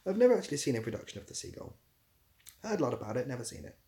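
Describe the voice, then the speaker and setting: A man's voice: medium pitch, sing-song, very fast, dark tone. A man hosting a casual livestream, answering viewer comments in an informal, rambling way and searching for his words. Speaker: ASMR creator hosting a livestream; theatre assistant producer.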